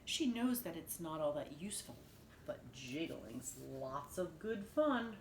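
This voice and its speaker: A woman reading a rhyming picture book aloud to young children like a nursery teacher, with deliberate, dramatic phrasing. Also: low voice, very animated. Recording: roomy echo with faint background noise.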